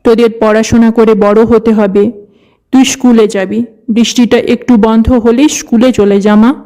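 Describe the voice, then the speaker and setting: Mellow, caring village mother played by a woman. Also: a little high, flat delivery, normal speed, lightly acted. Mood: gently insistent. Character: caring, self-sacrificing village mother and farmer's wife. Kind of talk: cartoon story dialogue.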